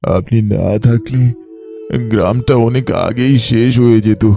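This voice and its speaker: A man voicing an anxious, trusting villager in an animated horror story, imploring and deferential. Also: mid-range voice, measured pace, vocal fry, soft background music. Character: anxious, trusting villager pleading with his doctor.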